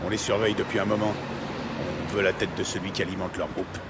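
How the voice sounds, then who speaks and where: An actor playing a hard-bargaining, territorial New York cop in a French audio drama, blunt and wary. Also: male voice, low-pitched, level tone, quick pace, very noisy. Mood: wary, firm. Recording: loud music and street ambience behind.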